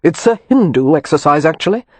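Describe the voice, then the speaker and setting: A reader voicing a cautious, good-humoured doctor who explains calmly. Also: male voice, a little high, a little fast, no room sound.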